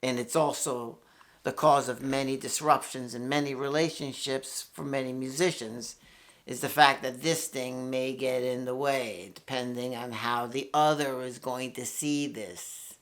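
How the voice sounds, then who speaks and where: A man's voice: mid-range voice, fairly even intonation, measured pace, fairly bright tone. A man in his sixties from New York, a guitarist talking through a casual, rambling, candid monologue to camera, with frequent swearing and restarts. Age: 60s. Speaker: guitarist and channel host.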